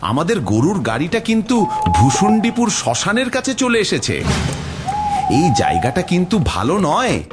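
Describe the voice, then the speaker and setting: A man playing an uneasy middle-aged village cart driver in a horror cartoon, fretting and warning in a husky voice. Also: high-pitched, huge pitch swings, normal speed, very noisy. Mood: worried. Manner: exclamatory, fretful, warning.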